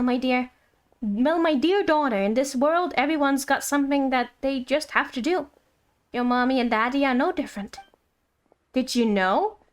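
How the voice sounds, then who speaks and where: A woman's voice: high-pitched, fairly bright tone. A woman streaming a video game, chatting casually with playful asides and reading game text aloud over music.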